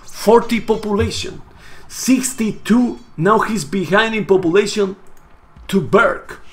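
A hoarse, Spanish-native man casting a live strategy game match, getting louder and repeating himself at big plays. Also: high voice, a little slow. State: hoarse, losing his voice. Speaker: esports caster. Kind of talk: excited live game commentary.

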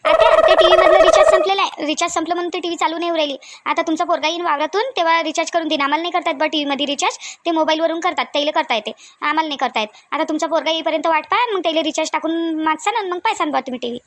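A woman voicing chatty, bustling village women in a cartoon comedy, with a Varhadi Marathi accent and colloquial, animated chatter. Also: extremely high pitch, racing, dry recording. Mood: insistent. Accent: Varhadi Marathi from Vidarbha.

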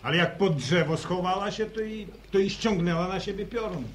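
Fields: an actor playing a calm, sensible farm son. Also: male voice, high-pitched, a little fast, close to an everyday voice. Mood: matter-of-fact. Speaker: radio drama character. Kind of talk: 1950s radio play acting. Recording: faintly noisy old radio recording.

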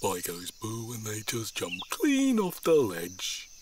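Childlike park creature, audio drama, rustic, unhurried, outdoor nature noise. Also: male voice, fairly low pitch, huge pitch swings, heavily acted. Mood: gleeful, sheepish. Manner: naive, rustic grammar. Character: childlike, simple creature guarding its ancestral park.

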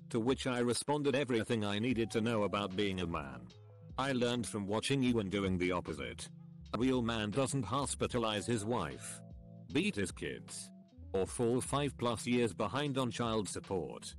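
Man reading forum comments, lively pitch.

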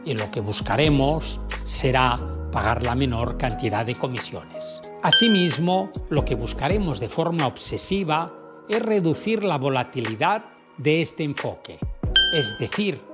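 Man, 50s, measured and emphatic host, studio mic, music bed behind. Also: medium pitch, expressive. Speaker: finance YouTuber. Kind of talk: scripted explainer to camera. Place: dim studio room with blue light. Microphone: studio mic on stand. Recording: background music under voice.

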